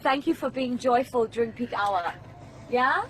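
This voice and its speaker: A woman's voice: a little high, a little slow, a bit bright, slight background noise. A woman radio host chatting in a bubbly, effusive way with a guest. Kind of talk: lively on-air chat.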